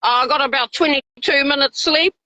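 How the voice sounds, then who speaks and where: A woman's voice: high-pitched, normal speed, sharp tone, no room sound. A woman with a New Zealand accent giving an earnest, informal live interview as an activist. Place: outside a hospital, live call. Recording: dull lo-fi live stream audio.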